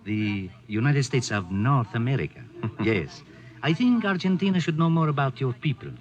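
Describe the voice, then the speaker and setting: Guy acting smooth argentine diplomat, formal n wry, very lively pitch, dull old radio drama sound. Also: lightly acted. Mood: wry, pointed. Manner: formal, measured, polite. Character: suave, courteous Argentine diplomat, gently ironic. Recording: muffled old radio broadcast, faint music.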